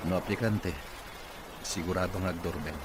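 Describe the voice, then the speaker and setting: A man's voice: sits low, moderate pace, very noisy, lightly acted. A man playing an irritable, suspicious rich young boss in a radio drama, curt and demanding. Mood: impatient.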